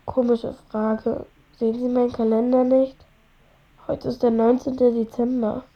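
A high-voiced, stubborn young boy. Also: flat delivery, a little slow, muffled recording. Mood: questioning, uncertain. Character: ten-year-old sick boy, stubborn, cheeky, needy. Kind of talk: audio drama dialogue.

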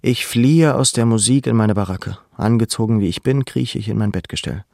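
An actor playing a young, melancholy camp prisoner in a measured, introspective radio drama narration. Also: male voice, on the low side, gravelly, a little mellow, no room sound, clean studio sound. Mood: somber, dreamlike. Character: young deported male labour camp prisoner, melancholy, watchful.

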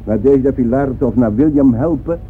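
A man's voice: medium pitch, brisk, a little hiss or hum, close to an everyday voice. An actor playing an earnest man in a radio drama, pleading persuasively and emphatically on a protégé's behalf. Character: earnest male music patron pleading for a shy protégé. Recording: dull old radio recording.

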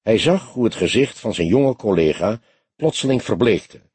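Male narrator, low-pitched, very raspy, animated storytelling, detective audiobook. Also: normal speed, no room sound. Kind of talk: expressive scripted narration of a detective novel.

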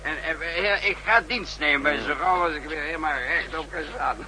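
An actor playing a boisterous, sentimental drunken husband who rambles and repeats himself in a 1960s radio play. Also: male voice, fairly high pitch, a little fast, bright, forceful tone. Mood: maudlin, jovial drunk. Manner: rambling, repetitive, blustering. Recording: noisy old 1960s radio recording.

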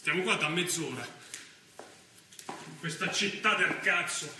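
An actor playing a crude, restless young neo-fascist with a Roman accent in a theatrical monologue, foul-mouthed and slangy. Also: male voice, on the high side, expressive, measured pace. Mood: irritated, crude. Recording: echoey room from far off, faint noise.

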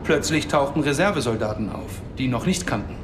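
A man's voice: medium pitch, lively intonation, fairly quick, background noise, natural voice. An actor playing a melancholy, sardonic Palestinian father in a radio drama, speaking in a weary, understated way. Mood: grave. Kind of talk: radio drama dialogue and narration. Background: music under the voice.

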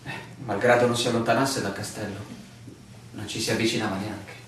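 An actor playing a weary, bewildered male stranger telling his story with deliberate theatrical emphasis in a radio play. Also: fairly low pitch, level tone, lightly acted. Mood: weary, absorbed recounting. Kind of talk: theatrical radio drama monologue. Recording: very echoey, distant, noisy radio play recording.

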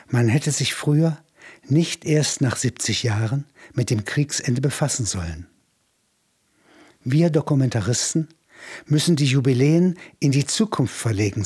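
Male narrator for literary radio drama, very husky and breathy, mid-pitched. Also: a little mellow.

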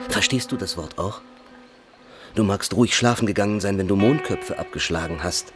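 A man acting a brooding, passionate robber captain in a German radio play, theatrical, shifting between hushed gravity and commanding cries. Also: on the low side, moderate pace, faint music behind, close to an everyday voice. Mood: grave, brooding.

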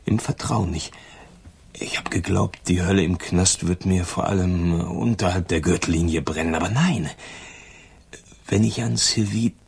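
An emotionally raw escaped convict in a radio crime drama. He has a low, heavily husky, breathy voice and talks haltingly and confidingly, uneasy with his own gratitude. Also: full character voice. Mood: tender, confiding. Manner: hesitant, confiding. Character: grateful, uneasy male jailbreaker, emotionally raw crook.

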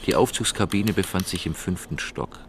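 Casting: male thriller narrator, very deep voice, with clear background noise. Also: normal speed. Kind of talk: scripted crime audio drama narration.

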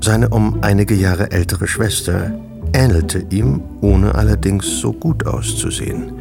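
A man narrating a literary radio drama in a measured, composed way. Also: lowish voice, gravelly. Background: music.

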